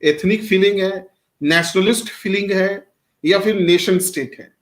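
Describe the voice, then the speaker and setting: Man from Bihar, classroom lecture, high-pitched voice, rhetorical questions, calls on students. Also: unhurried. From Bihar, India. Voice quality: speaks Hindi with much English mixed in, quizzes students by name. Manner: asks rhetorical questions and answers them himself. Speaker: teacher.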